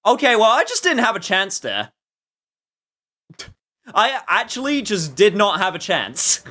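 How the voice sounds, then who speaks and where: A man giving casual, rambling, jokey live commentary while he plays a video game, with animated outbursts. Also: sits high, a little slow. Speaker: streamer playing a video game.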